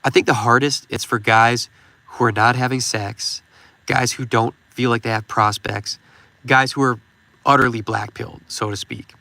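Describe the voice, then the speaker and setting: Stuffed-up man with a gravelly voice. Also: mid-range voice, steady tone, normal speed, bright, forceful tone, no room sound. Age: 30s. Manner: rambling, frequent "you know" fillers. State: stuffed-up nose. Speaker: channel host. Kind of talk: casual advice talk on a stream.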